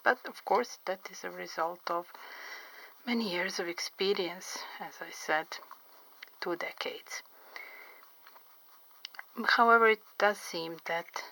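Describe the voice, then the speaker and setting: Middle-aged woman, English not her first language, slow deliberate delivery, bright gravelly tone. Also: lowish voice, poor audio. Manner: slow, careful, clearly enunciated, pauses often. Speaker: artist and interpreter. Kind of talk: drawing tutorial vlog chat. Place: home studio by a window.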